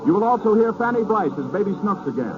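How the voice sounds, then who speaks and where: A man's voice: high voice, big pitch swings, fast talker. An American man making formal, showy scripted announcements in 1930s radio style. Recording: dull 1930s radio broadcast recording. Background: loud music.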